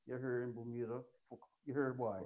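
A Caribbean man hosting an interview in a relaxed chatty way, rambling through his questions. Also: medium pitch, brisk, highs cut off. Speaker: interview host. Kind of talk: casual interview chat.

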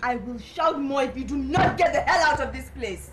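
A woman's voice: high voice, animated, normal speed, a crowd in the background. An actress playing a haughty, hot-tempered young woman in a film drama, confrontational and boastful with theatrical insults. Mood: angry, demanding. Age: young adult.

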